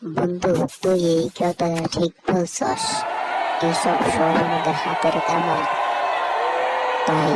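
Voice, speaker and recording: A woman's voice: on the low side, flat delivery, slow pace, long pauses, a loud crowd, very noisy. A woman voicing an aggrieved, henpecked village husband in a comic cartoon, complaining earnestly with a Bangladeshi accent. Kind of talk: amateur comic cartoon dubbing. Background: loud music.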